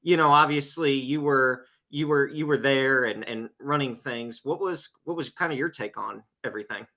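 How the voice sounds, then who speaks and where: A man with a bright, crisp-edged voice hosts a podcast conversation. His pitch sits in the middle, and he steers his guests with casual interview questions. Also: moderate pace. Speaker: podcast co-host.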